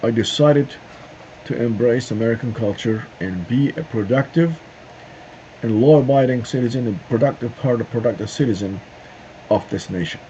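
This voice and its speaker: A middle-aged man from Turkey, speaking a halting opinion monologue to camera with many pauses and "uh"s. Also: medium pitch, mellow. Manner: halting, searching for words with frequent "uh" and "um" pauses. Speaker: video host. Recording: dull lo-fi sound with faint noise.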